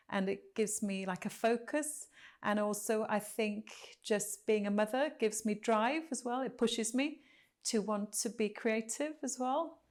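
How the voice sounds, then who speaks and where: A Welsh woman, a textile artist, talking thoughtfully and earnestly in a documentary interview, with pauses and restarts. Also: medium pitch, darkish tone. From Wales.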